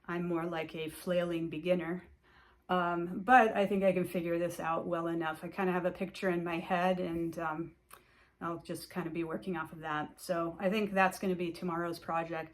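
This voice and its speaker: A woman whose first language is Hungarian, casually showing her stitching projects in a rambling chat full of fillers and restarts. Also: on the low side. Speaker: cross-stitch vlogger. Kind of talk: casual show-and-tell chat.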